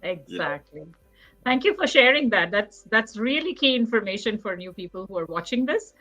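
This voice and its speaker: A woman's voice: mid-range voice, dry recording, muffled recording, soft background music. A woman hosting an author panel, chatting animatedly and laughing readily as she asks questions. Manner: animated, quick to laugh and chime in. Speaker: panel host. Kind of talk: lively casual panel talk.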